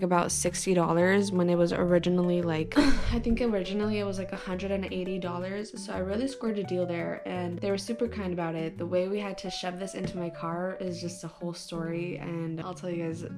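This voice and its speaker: A woman in her twenties talking casually to camera at home, rambling and chatty with lots of "like" fillers. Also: fairly low pitch. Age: 20s. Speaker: lifestyle vlogger. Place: her own home, indoor living area.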